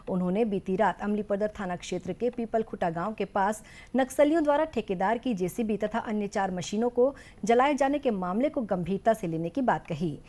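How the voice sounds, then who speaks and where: Female newsreader, medium pitch, formal and measured scripted delivery for a news bulletin. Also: warm tone. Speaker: news presenter.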